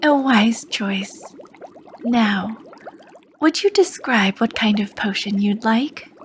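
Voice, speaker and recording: A woman playing a charming, sly potion shopkeeper, talking slowly and softly with a teasing edge and dipping into whispers. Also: on the high side, slight background noise. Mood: curious. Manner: slow, soft-spoken, teasing, drifting into whispers. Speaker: ASMR roleplay performer. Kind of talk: ASMR roleplay.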